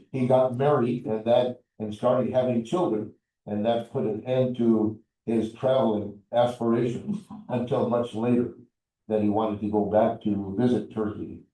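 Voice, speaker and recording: A man's voice: medium pitch, warm tone. Older man reminiscing in an echoey room. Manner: measured, reflective storytelling. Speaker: oral history interviewee. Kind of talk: reminiscing oral history. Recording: echoey room, distant mic.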